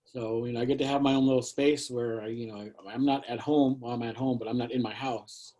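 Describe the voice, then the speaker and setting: A man's voice: medium pitch, fairly even intonation, fairly quick, mellow. Rambling middle-aged man on a video call. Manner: rambling, many fillers and restarts. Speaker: guest artist. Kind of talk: casual conversational talk. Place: backyard studio shed.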